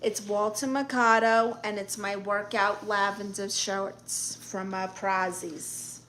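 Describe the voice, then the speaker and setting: Brash Brooklyn woman streamer, bright voice. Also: mid-range voice, flat delivery, slow pace, slight background noise, low-quality recording. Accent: New York. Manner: brash, sassy, joking. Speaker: livestream host. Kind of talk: casual live chat reading comments.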